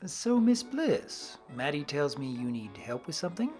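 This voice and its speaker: A man's voice: a little high, very animated, normal speed, a little mellow, slightly rough, faint music behind. A man playing an unruffled doctor with a dry manner in a comedy video skit. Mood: dry, knowing.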